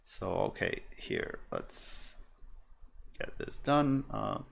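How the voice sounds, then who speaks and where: A man's voice: lowish voice, huge pitch swings, extremely slow, creaky. A young man with a German accent who thinks aloud as he explains soldering at his workbench, with many fillers. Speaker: electronics streamer. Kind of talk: casual soldering tutorial.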